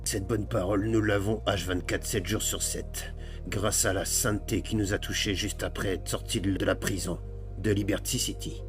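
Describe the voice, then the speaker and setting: A low-pitched, pompous old priest, played by a man in comic game roleplay. He is pious and absurdly formal, narrating with mock solemnity while music plays behind him. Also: fairly even intonation, moderate pace, natural voice. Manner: mock-solemn, bureaucratic turns of phrase. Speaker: gaming roleplay streamer.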